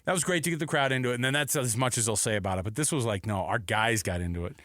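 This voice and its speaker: A man co-hosting a sports talk show, chatting casually in a rambling, excitable way and acting out quotes. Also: mid-range voice, rapid-fire, no room sound, clean studio sound.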